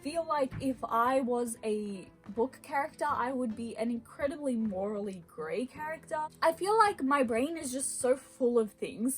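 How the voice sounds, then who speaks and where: A woman chats to camera in a casual vlog, her voice sitting a little higher than most. She drifts from thought to thought and teases herself along the way. Soft music plays faintly underneath. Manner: rambling, self-deprecating, thinking aloud. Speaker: vlogger. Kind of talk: casual personal vlog monologue.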